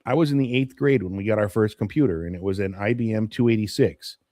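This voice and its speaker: A man's voice: lowish voice, fast talker, mellow, dry recording, clean studio sound. Chatty man in his late forties. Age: 40s. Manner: chatty, nostalgic, wanders off topic. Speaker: host of a morning live stream. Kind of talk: casual rambling chat to viewers.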